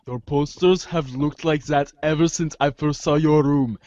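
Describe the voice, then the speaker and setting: A man acts as a sardonic, articulate teenage girl. The voice is a little high for a man, deadpan and formal, in a comedic readers' theater with a close, slightly dull sound. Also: unhurried, no echo, natural voice. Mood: dry, skeptical.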